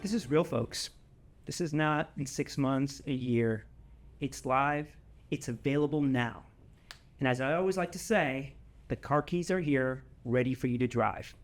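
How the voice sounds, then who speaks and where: A man's voice: medium pitch, a little slow, faint music behind. A man presenting a scripted product announcement as a company presenter, emphatic and given to repeating key phrases.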